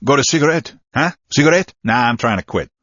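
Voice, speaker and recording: A man's voice: medium pitch, animated, fairly quick, a bit bright, no room sound, muffled recording. An actor playing a casual, slangy henchman guard making idle small talk in a video game. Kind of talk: scripted comic game guard banter.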